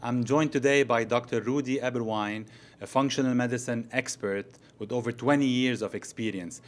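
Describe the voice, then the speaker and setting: A middle-aged man speaking conversationally as a doctor hosting a podcast interview. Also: medium pitch.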